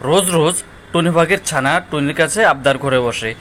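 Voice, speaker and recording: A man narrates a children's cartoon tale from a script. His voice sits somewhat high and moves quickly, with faint noise underneath. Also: a bit bright.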